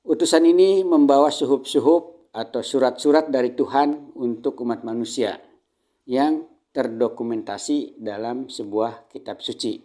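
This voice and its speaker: A man with an Indonesian accent giving an Islamic sermon as a prepared lecture, teaching in measured phrases and often clearing his throat. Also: mid-range voice, mellow. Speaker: religious lecturer.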